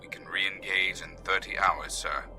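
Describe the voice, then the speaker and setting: A man's voice: low-pitched, fairly even intonation, unhurried, pushed and bright, heavily acted. A man playing a proud, defensive admiral answering to his superior, stiff and formal, in a scripted comic dub. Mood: uneasy. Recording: music under the dialogue.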